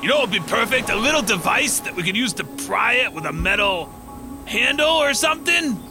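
A man's voice: very high voice, animated, brisk. A man playing a laid-back, clueless slacker dude in a comedic audio drama, rambling and casual. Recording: music behind the voice.

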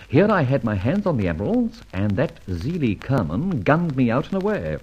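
An actor playing a suave, wry, self-assured gentleman thief in a vintage radio drama, with an urbane manner. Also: medium pitch, wildly swooping pitch, normal speed, slightly rough. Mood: sly, amused. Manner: urbane, wry, clipped commands. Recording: dull vintage radio recording, music behind.

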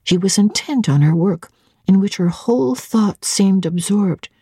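A woman narrating classic literature as a dramatic reading, expressive, deliberate and theatrical. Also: medium pitch, breathy edge, no echo, clean studio sound. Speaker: audiobook narrator.

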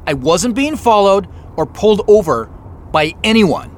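A man's voice: sits high, very animated, a little slow. A man acting in an audio drama as a stressed, suspicious, sarcastic man on the run. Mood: agitated. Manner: sarcastic, agitated. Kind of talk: audio drama acting with first-person narration.

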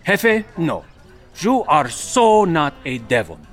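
A man's voice: high-pitched, wildly swooping pitch, measured pace, a bit bright, soft background music, heavily acted. An actor playing a cheerful imaginary man who scatters stock Spanish phrases into his speech. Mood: jovial. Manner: playful, drops in stock Spanish words. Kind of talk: audio drama acting.

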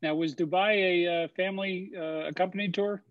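A man hosting a question-and-answer session, speaking haltingly with many fillers, as if over a video call. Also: high-pitched, fairly even intonation, dry recording. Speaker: host of a webinar Q&A. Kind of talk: casual moderated Q&A. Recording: dry, dull lo-fi sound.

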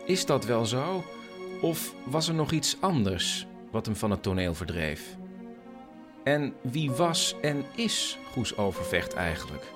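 Guy doing scripted radio doc narration, lively intonation, music under it. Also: medium pitch, slow pace.

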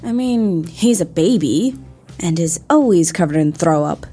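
A woman's voice: lowish voice, animated, measured pace, mellow, breathy edge, soft background music. A woman playing a blunt young schoolgirl at confession in an audio comedy, offhand and grudging.

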